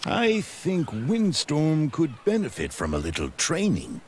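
An actor playing an older male riding master in a video game, giving measured, encouraging instructions. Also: a little high, huge pitch swings, slight background noise. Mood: approving. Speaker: riding trainer. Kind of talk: scripted video game dialogue.

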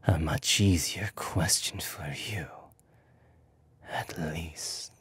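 A man voicing an arrogant, seductive, menacing demon lord in a slow, breathy ASMR whisper. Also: very deep voice, a bit bright, exaggerated voice. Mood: commanding, menacing. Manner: slow, deliberate, haughty.

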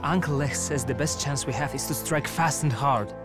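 A man's voice: medium pitch, normal speed, natural voice. A man playing an idealistic young older brother in a video game, resolute yet tender. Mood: defiant. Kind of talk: cinematic video game acting. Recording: music under the voice.

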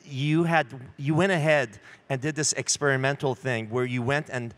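A man's voice: mid-range voice, steady tone, normal speed, a bit bright. A middle-aged man interviewing a guest onstage, asking leading, conversational questions into a handheld microphone. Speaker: interviewer, publisher. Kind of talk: onstage fireside chat.

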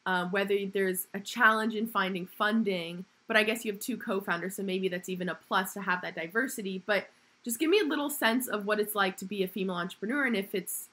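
A woman's voice: mid-range voice, pushed and bright. A woman hosting a podcast interview, asking long questions and affirming her guest often. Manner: affirming, frequent backchannels. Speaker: podcast host. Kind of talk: conversational interview.

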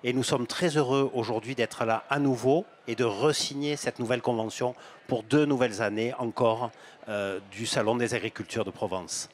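A man in his fifties, a bank executive, giving measured explanatory answers in an interview at a fair stand, with some hesitations and repeated words. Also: medium pitch, a little hiss or hum. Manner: gestures broadly while talking. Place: outdoor stand at an agricultural fair, on a sofa. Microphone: handheld microphone.

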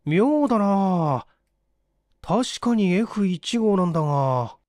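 A man's voice: sits high, lively intonation, takes it slowly, a little mellow, dry recording, clean studio sound. A man voicing an earnest, eager young male student assistant who speaks politely and urgently in a story reading. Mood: puzzled.

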